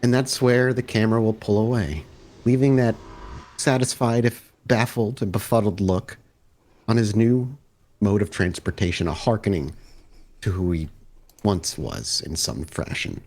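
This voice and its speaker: A man working as game master, narrating a tabletop roleplay in deliberate, cinematic phrases. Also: fairly low pitch, darkish tone.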